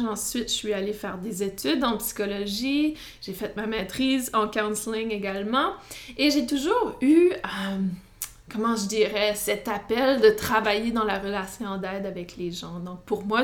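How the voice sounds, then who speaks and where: A woman with an Acadian French accent, hosting her own podcast as a psychotherapist, speaking in a relaxed monologue with frequent hesitations and light laughs. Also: a little high. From New Brunswick, Canada. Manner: hesitant, many "euh" fillers, light laughs.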